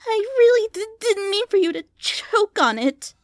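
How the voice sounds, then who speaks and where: Very high, nervous monster girl. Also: sing-song, dry recording, studio quality. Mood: panicked. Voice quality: high, squeaky. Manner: stammering, halting, anxious. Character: nervous, stammering, lovestruck female monster. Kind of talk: dramatic fan fiction reading.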